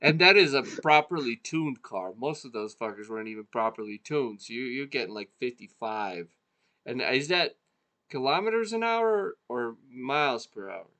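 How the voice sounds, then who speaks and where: A man born in Serbia, co-hosting a podcast in a relaxed, casual chat, swearing freely and trailing off mid-thought. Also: mid-range voice, moderate pace. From Serbia (former Yugoslavia). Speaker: podcast co-host.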